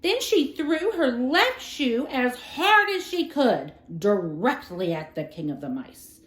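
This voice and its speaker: A woman reading a children's story aloud as a storyteller, in an expressive, dramatic style. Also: high-pitched, slow. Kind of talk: scripted children's storybook narration. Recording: roomy, echoing room sound.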